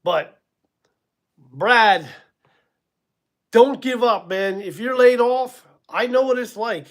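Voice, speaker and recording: A man's voice: very high-pitched, takes it slowly, a bit bright. A man in his sixties hosting a casual live stream, rambling a little, chuckling and answering viewers by name. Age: 60s. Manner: casual, rambling, chuckles at himself, many ums. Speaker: livestream host.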